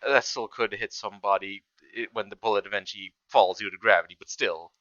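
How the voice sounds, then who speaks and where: Man, reaction host, casual amused rambling, bright tone, very dry close sound. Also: on the low side, fairly even intonation, fairly quick, dry recording, dull audio. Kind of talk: casual commentary on an animated video.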